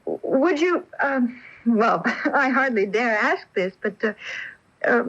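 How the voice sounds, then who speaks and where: Muffled lo-fi radio drama, curious young tenant, fairly high voice, slow hesitant delivery. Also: female voice, expressive, fairly bright tone, heavily acted. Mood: curious, eager. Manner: hesitant, breaking off, wondering. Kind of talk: 1980s radio mystery drama acting. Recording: dull, lo-fi AM radio tape.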